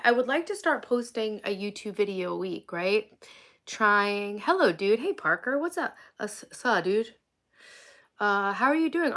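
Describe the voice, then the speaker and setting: Forties woman from long island, kinda high voice w/ vocal fry, sniffly w a cold, rambling livestream chat outside under a tree. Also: fairly bright tone. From Long Island, New York. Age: 40s. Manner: rambling, heavy use of "like". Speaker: livestream vlogger. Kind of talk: casual live stream chat with viewers. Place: outdoors, sitting under a tree.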